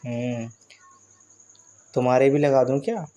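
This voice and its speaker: Casting: male actor as married flirt, soft mellow tone, mid-pitched, sly innuendo, casual phone-call drama. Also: unhurried, natural voice. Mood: flirty, suggestive. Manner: teasing, double-meaning banter. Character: flirtatious married man, teasing and suggestive.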